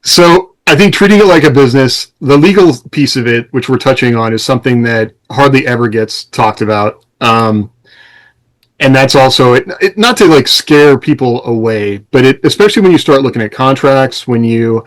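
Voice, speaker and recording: A man's voice: mid-range voice, moderate pace, poor audio. A man co-hosting a casual panel podcast, giving practical advice with a self-deprecating, lightly amused manner. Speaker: podcast co-host and author.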